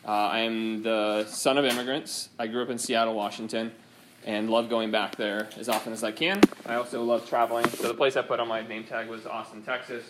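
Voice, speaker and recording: A man's voice: on the low side, flat delivery, fairly quick. Man lecturing a chemistry class, noisy. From United States. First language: Swedish. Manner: informal, hesitant with many ums. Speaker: chemistry instructor.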